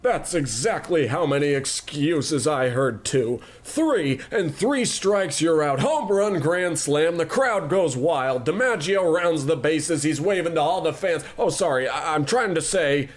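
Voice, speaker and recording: Guy doing a smug big boss, highish voice all over the place, hammy old radio comedy. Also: animated, measured pace, few pauses. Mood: smug, dismissive. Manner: theatrical, glib, exclaiming. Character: pompous, breezily callous male tycoon boss.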